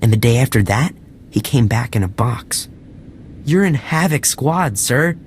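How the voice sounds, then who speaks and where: An actor playing an earnest, anxious junior soldier in a video game, speaking with deferential urgency. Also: male voice, mid-range voice, animated, measured pace, slight background noise, natural voice. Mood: worried, urgent.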